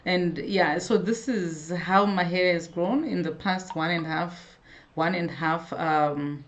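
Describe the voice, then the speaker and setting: A woman's voice: lowish voice, fairly even intonation, measured pace, highs cut off. A woman hosting a casual live stream, chatting to viewers, greeting them by name and often restarting her sentences. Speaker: hair care vlogger hosting a live stream.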